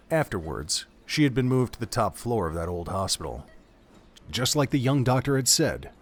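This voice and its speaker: A man reading scripted third-person narration as the storyteller of an audio drama. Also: on the low side.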